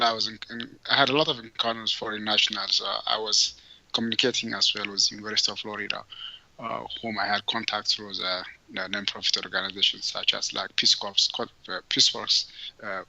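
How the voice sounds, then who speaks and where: A man from Ethiopia, a guest answering interview questions, speaking haltingly with many fillers and restarts. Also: lowish voice, steady tone, vocal fry, sharp tone, low-quality recording. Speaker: guest entrepreneur.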